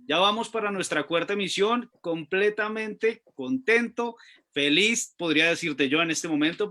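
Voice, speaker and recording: Man, radio co-host, bright tense tone, slightly high, formal, deliberate. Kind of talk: radio magazine hosting.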